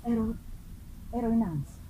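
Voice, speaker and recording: Casting: woman as tormented man telling a Gothic tale, theatrical, halting, emphatic; fairly high register, heavy background noise. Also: natural voice. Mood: uneasy. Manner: halting, dramatic, repeats words for emphasis. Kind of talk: theatrical literary reading. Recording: very noisy live open-air festival recording.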